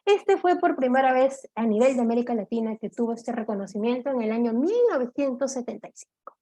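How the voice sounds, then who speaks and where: A woman giving an online law lecture, explaining step by step, often checking in with her listeners and pausing on "eh". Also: fairly high pitch, warm tone. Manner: explanatory, checks in with "¿de acuerdo?", frequent "eh" fillers.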